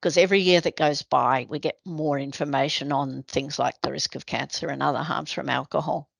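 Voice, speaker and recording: A woman's voice: sits low, fairly even intonation, fairly quick, creaky, no room sound. A woman giving a webinar presentation on a video call, explaining things in a practical, conversational way with frequent fillers. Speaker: presenter, addiction medicine specialist.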